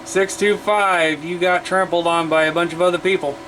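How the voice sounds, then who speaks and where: A high-voiced American man works the CB radio, making casual contacts with other operators. Heavy static and hum surround his voice throughout. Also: fairly even intonation, normal speed. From Iowa, United States.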